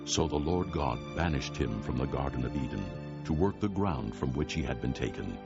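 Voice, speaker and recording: A man narrates scripture as a solemn storyteller. His voice is exceptionally low and gravelly, rising and falling expressively in a scripted dramatic reading. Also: animated, moderate pace. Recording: dull sound with music bed.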